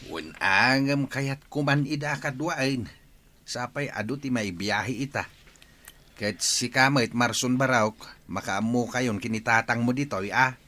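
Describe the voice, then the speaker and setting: Casting: man as stern Ilocano village father for radio drama, sharp and forceful delivery, medium pitch. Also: a little slow. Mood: instructing.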